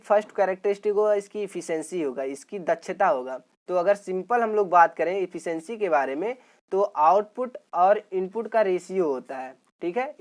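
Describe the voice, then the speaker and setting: A man's voice: high voice, darkish tone. Male lecturer for informal technical lessons; explanatory, repetitive delivery with regular check-ins. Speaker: teacher.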